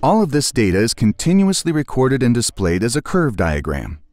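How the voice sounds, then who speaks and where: A man reading a scripted technical tutorial as a technician presenter, in a measured, precise and formal manner. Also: medium pitch, huge pitch swings, a little mellow. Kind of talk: scripted instructional narration.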